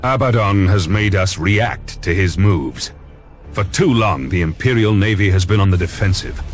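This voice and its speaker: An actor playing a grave, commanding Lord Admiral in a video game cutscene, with formal and solemn delivery. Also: male voice, low-pitched, expressive, moderate pace. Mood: resolute. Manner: formal, authoritative, solemn oratory. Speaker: fleet commander. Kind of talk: scripted dramatic game cutscene acting. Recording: music behind the voice.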